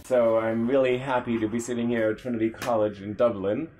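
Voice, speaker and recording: A man's voice: mid-range voice, little pitch movement, brisk, music in the background. A man hosting an interview podcast, introducing it and putting questions to his guest. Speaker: podcast host.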